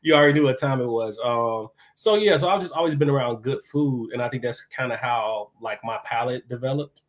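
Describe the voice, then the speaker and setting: A man, a guest chef talking about himself in a relaxed, chatty podcast interview. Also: mid-range voice, fairly quick. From Chicago. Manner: laid-back, talkative, easy laughs.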